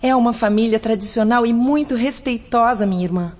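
A woman plays a kind, modest elder sister in a radio serial. She speaks tenderly in a high voice. The sound is slightly muffled and lo-fi. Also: brisk, a little mellow, natural voice. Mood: encouraging. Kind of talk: radio drama acting. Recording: dull lo-fi radio drama recording.

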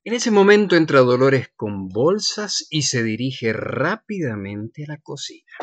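A man's voice: mid-range voice, sing-song, measured pace, muffled recording. A man reading scripted stage directions as the narrator of a radio play.

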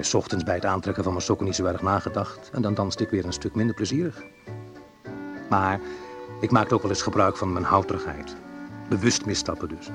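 A wistful man looking back on his awkward youth in a radio play. His voice sits fairly low, and he talks in a hesitant, reflective, conversational way, as if confiding. Music plays faintly behind him. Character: wistful man recalling awkward teenage love at dance class. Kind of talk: intimate first-person radio play monologue.

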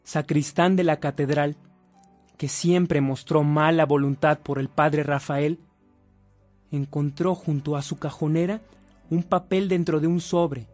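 A man's voice: a little high, steady tone, a little slow, a little mellow, no room sound, faint music behind, lightly acted. A man acting as the solemn narrator of a religious radio drama. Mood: storytelling.

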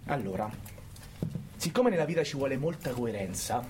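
A man's voice: medium pitch, animated, normal speed, background noise, natural voice. A man playing a cynical, bullying prison guard in a radio monologue, with a Roman accent and a sardonic, goading manner full of rhetorical questions. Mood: bitter, sardonic. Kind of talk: theatrical radio monologue in colloquial dialect.

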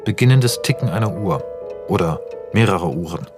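An actor serving as the narrator of a radio play, reading scene directions in a precise, measured, matter-of-fact way. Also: male voice, low-pitched, animated, over music.

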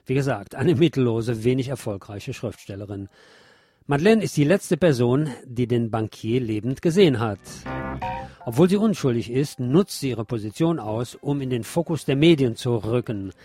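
A man's voice: medium pitch, animated, moderate pace, warm tone, no room sound, faint music behind. A man hosting a radio show, reading a film review from a script with chatty asides to his listeners.

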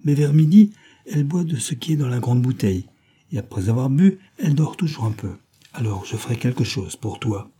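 A man's voice: mid-range voice, lively intonation, fairly quick, mellow, breathy edge. A man voicing a wild, cruel little robber girl who talks in a fierce, teasing, bossy way.

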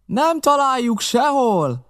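A man voicing a rough pirate henchman in an audiobook, urgently shouting reports to his leader. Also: very high voice, big pitch swings, pitched above the performer's own voice, exaggerated voice. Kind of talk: audiobook character acting.

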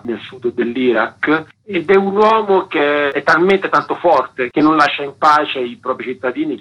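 A man's voice: medium pitch, husky. A man speaking as a guest author in a radio interview, explaining his work articulately in long, flowing sentences. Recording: dull, lo-fi radio line.

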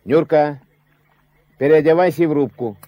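An actor in a Soviet radio drama playing a gruff, commanding old riverboat captain who gives curt orders in folksy speech. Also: male voice, fairly high pitch, normal speed, highs cut off, lightly acted. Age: older. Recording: noisy 1970s radio play recording.

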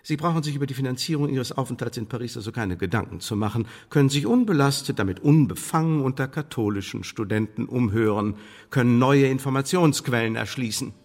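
An actor playing an authoritative English intelligence official in a radio play, speaking in formal, measured, condescending bureaucratic German phrases. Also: male voice, medium pitch, lively intonation. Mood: businesslike, persuasive. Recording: reverberant hall-like studio radio production.

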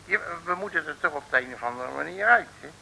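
A folksy, bossy detective from an old comic radio play. His voice is very sharp and lively, full of cajoling colloquial asides and wisecracks. Faint noise lies under the vintage recording. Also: fairly high pitch, fast, gravelly, heavily acted, rough recording. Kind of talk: 1950s comic radio serial acting.

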